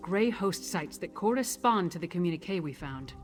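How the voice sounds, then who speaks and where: A woman's voice: fairly low pitch, animated, normal speed, natural voice. A woman playing a confident, no-nonsense warrior and tactician in a fantasy video game, speaking in a blunt, assured way. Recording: prominent game music behind the voice.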